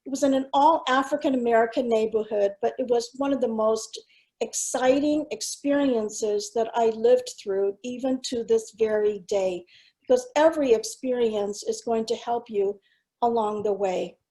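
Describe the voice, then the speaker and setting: A middle-aged woman, first language Cantonese, gives a keynote as a school superintendent, moving through slides at a measured pace. Her voice sits a little high, and she talks earnestly with small pauses and fillers. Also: warm tone, rough recording. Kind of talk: presentation with slides.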